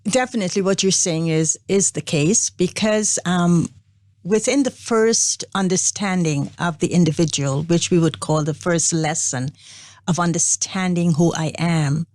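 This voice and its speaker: An older woman from Guyana, a spiritual organization's representative, telling her story in a measured, unhurried interview. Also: on the low side, a little mellow, dry recording, studio quality. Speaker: interview guest, Brahma Kumaris representative. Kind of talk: reflective interview talk.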